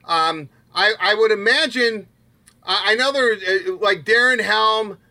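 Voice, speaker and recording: A man co-hosting a casual sports discussion, often repeating words haltingly as he begins a phrase. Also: high voice, sharp tone. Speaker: sports podcast co-host.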